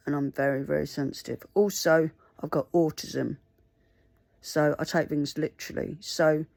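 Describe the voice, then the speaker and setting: Woman vlogger, Mandarin native, slow earnest delivery, low gravelly fry. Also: a little mellow, dry recording. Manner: earnest, pleading, halting. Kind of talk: casual talk to camera.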